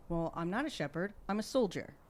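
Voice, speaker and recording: A man's voice: sits high, lively intonation, brisk. A man playing a tabletop game casually in character, with an earnest, hesitant way of talking. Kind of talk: casual in-character role-play.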